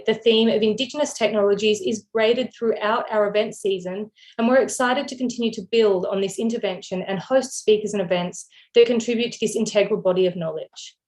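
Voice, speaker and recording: A woman from Australia hosting an online lecture, reading prepared welcome remarks and a land acknowledgement in a steady, formal manner. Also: mid-range voice. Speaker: event host. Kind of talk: scripted event welcome and introduction. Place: online video event.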